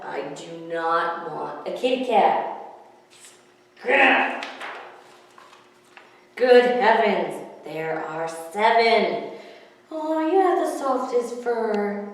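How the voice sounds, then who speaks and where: A woman voicing a grumpy but soft-hearted old man from a storybook, exclaiming in exasperated rhymes. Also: mid-range voice, heavy reverb, in a large hall, distant mic. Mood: flustered, insistent.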